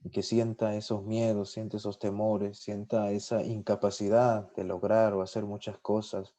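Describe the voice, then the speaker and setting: A man's voice: on the low side, level tone, moderate pace, warm tone. A man whose first language is Spanish, a podcast guest leading a spontaneous spoken prayer, fervent and filled with repeated "Lord" and "amen". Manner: fervent, repeats "Señor" and "amén" often. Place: lives in Sweden.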